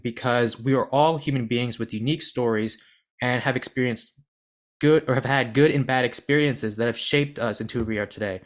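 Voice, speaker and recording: Young American male podcast host, earnest and chatty with a mid-range voice. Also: mid-range voice. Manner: earnest, rambling, self-deprecating. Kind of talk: podcast interview.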